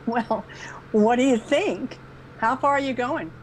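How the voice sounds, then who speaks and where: High, slow-talking brash girl hitchhiker played by a woman. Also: natural voice, poor audio. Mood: breezy. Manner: casual, folksy, sassy. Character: brash, slangy, wisecracking young female hitchhiker. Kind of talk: old-time radio drama acting. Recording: steady vehicle noise behind voice. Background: car ambience.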